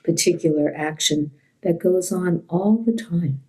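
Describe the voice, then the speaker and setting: A woman leads a guided meditation as a teacher. Her voice is low and soft-edged, with a dark, relaxed tone. She speaks very slowly and gently, leaving long pauses between short phrases. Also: poor audio.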